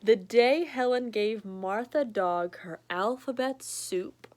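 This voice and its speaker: A woman's voice: a little high, takes it slowly. A woman reading a picture book aloud to a child, with playful, chatty asides. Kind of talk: read-aloud storytelling for a child. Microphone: recorded to a screen for remote viewing.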